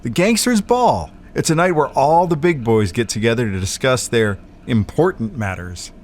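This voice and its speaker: A man's voice: mid-range voice, lively intonation, moderate pace, close to an everyday voice. A man playing a cocky, slangy, dim-witted tough guy in a 1940s noir audio drama. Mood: cocky, eager. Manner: slangy, brash, casual.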